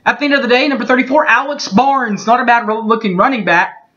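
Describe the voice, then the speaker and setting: A man in his thirties reading through postgame football stats from his bedroom, steady and methodical, with small slips he corrects as he goes. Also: very high voice, fairly quick. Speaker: sports fan recapping a game. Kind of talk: webcam postgame stats recap. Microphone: webcam mic. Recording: dull lo-fi webcam audio.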